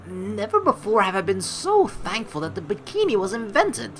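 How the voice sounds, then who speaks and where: A woman's voice: medium pitch, sing-song, moderate pace, faint music behind, rough recording. A young woman doing playful, slangy let's play commentary and reading game narration aloud.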